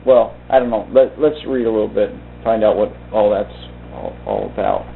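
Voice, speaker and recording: A man reading aloud and commenting informally in a halting, deliberate way. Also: lowish voice, wildly swooping pitch, vocal fry, slight background noise. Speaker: video host reading from a book. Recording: muffled phone-like narrow-band sound.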